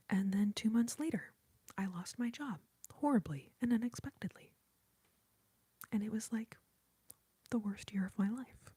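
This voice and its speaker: A woman whispers very close to the microphone in an airy, almost voiceless hush. Her tone is mellow and soft-edged, and she speaks in a heartfelt, grateful way. The sound is dry, with no room echo. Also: medium pitch, husky. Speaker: ASMR YouTuber hosting a livestream. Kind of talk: whispered ASMR livestream chat.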